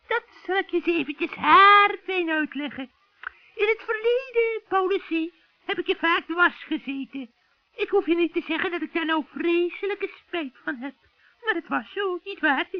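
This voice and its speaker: A man voicing a scheming old witch in a children's radio play, shrill and cackling, with sugary, wheedling friendliness. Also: lively intonation, no room sound. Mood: sly, coaxing. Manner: wheedling, drawn-out coaxing. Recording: dull, dry vintage radio recording.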